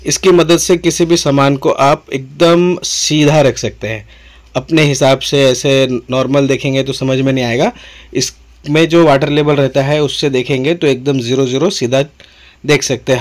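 A man with an Indian accent giving an informal product demonstration, explaining in a repetitive way and mixing in English words. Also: mid-range voice. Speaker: tool seller demonstrating products.

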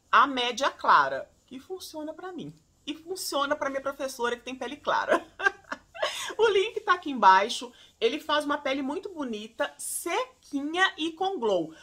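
A woman in her forties chatting to camera from a room at home, recommending skincare products, animated and chatty, calling her viewers "gente". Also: high-pitched. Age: 40s. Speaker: beauty vlogger.

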